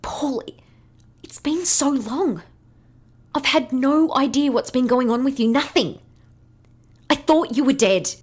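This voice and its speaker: A high female voice, husky with breath and slow-paced, a fearless woman adventurer. She sounds hurt yet caring in a sci-fi audio drama. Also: full character voice. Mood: hurt, relieved.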